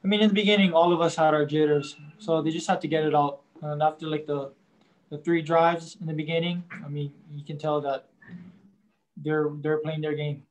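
A young man, a college quarterback, answering post-game press questions in a halting way, leaning on "I mean" and crediting his teammates. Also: a little high, level tone, rough recording. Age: young adult. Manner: halting, starts nearly every phrase with "I mean".

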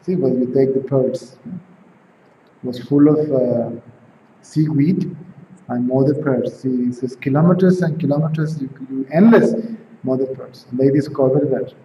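A man's voice: mid-range voice, normal speed, warm tone. A man in his forties from Venezuela, with a Venezuelan Spanish accent, giving an informal spiritual talk at home, often asking "right?" and "see?". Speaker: spiritual teacher. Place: home room with tiled walls, indoors. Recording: roomy, dull camera sound, faint hiss.

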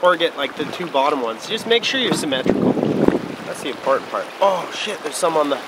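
A young man rambling to the camera from a small boat on a winter lake, in an offhand, deadpan, joking way. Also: a little high, a little fast. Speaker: snowboarder. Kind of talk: casual rambling vlog to camera. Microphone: wide-angle camera mounted on the boat. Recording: distant mic with loud wind and boat noise.

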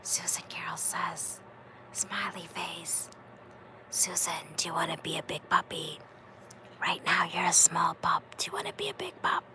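A woman hosting an ASMR livestream, softly whispering as she reads out chat comments. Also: lowish voice, huge pitch swings, moderate pace, slight background noise. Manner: soft whisper, affectionate. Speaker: livestream host.

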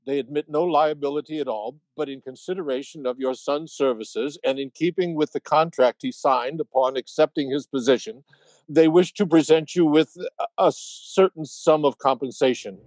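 A slightly high-voiced, hesitant company messenger acted by a man in a radio drama. He is formal, nervous and apologetic, stumbling as he speaks. The sound is dry. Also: normal speed, darkish tone, no room sound, lightly acted, rough recording. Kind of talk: radio play acting.

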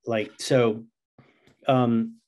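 A middle-aged man with an average-pitched voice speaks as an interview guest. He is earnest and conversational, often pausing to restart, over a video call. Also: little pitch movement, darkish tone. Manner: halting, earnest. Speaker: interview guest, comic writer.

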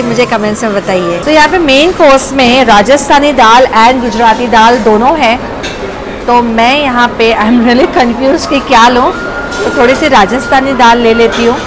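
A woman in her thirties giving a casual food review vlog on a lapel mic in a restaurant dining hall, chatting and mixing in English phrases. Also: a little high. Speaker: food vlogger. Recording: restaurant chatter and music behind.

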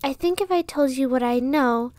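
Woman playing a high-voiced, earnest young peasant girl. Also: normal speed, darkish tone, no echo, lightly acted. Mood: hopeful pleading. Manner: earnest, pleading. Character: earnest young commoner girl, pleading and brave peacemaker. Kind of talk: fantasy audio roleplay acting.

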